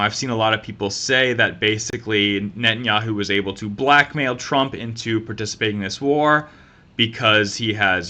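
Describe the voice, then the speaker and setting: A man's voice: fairly low pitch, bright, forceful tone. A man giving an opinionated, sarcastic political commentary rant. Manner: sarcastic, fast-talking.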